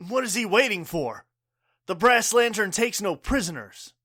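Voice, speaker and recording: A man acting a wounded, bitter father who is secretly a robot-building villain in a pulp radio drama, speaking haltingly and melodramatically. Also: high-pitched, animated, sharp tone, no room sound, studio quality, heavily acted. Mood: tense, bitter.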